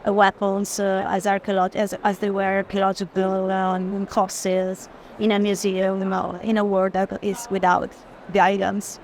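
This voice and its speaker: A woman with a medium-pitched voice explains art informally. She goes through it step by step, informative but somewhat hesitant in her phrasing. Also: completely flat delivery, normal speed, a little mellow, a little hiss or hum. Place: art fair.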